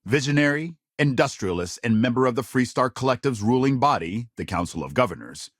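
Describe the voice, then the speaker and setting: An actor playing a polished, dramatic male news anchor in a sci-fi game, with crisp, measured, emphatic broadcast phrasing. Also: mid-range voice, expressive, no room sound, studio quality, lightly acted. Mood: grave, dramatic. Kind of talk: scripted broadcast news delivery.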